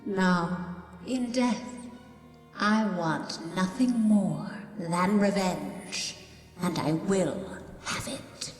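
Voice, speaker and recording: An actress playing the sorrowful, vengeful ghost of a woman buried alive, speaking in a breathy, haunting whisper for a game cutscene. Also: lowish voice. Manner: slow, haunting, dramatic. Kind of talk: dramatic video game cutscene acting. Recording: cavernous echo, long reverb, music behind.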